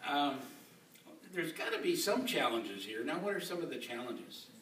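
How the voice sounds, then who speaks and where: A man's voice: fairly high pitch, big pitch swings, normal speed, fairly bright tone. A man interviewing a guest, asking plain, friendly questions with short asides. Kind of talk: interview questions before a group. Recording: echoey hall, distant mic, faint noise.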